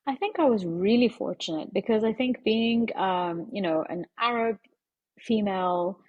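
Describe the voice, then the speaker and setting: A woman gives interview answers in a husky, slightly breathy voice. She talks in an earnest, measured way and often pauses on fillers. The sound is close and dry, with no room echo. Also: medium pitch, muffled recording. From London. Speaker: interview guest, media executive.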